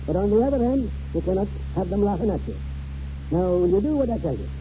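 Old Scottish rascal grandfather, grandiloquent and theatrical, very dark tone, dull noisy 1940s radio recording. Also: sits high, very animated, fast. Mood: wry, goading. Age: older.

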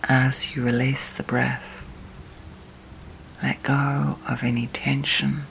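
A woman leads a guided sleep meditation in a soft, breathy, near-whispered voice, soothing, with long pauses between phrases. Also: rumbling low, rough recording. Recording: steady storm and rain noise. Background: rain and storm.

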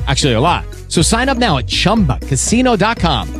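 A man's voice: sits high, sing-song, fairly quick, soft background music. A man reading an upbeat ad in a chatty, friendly manner. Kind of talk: upbeat ad read.

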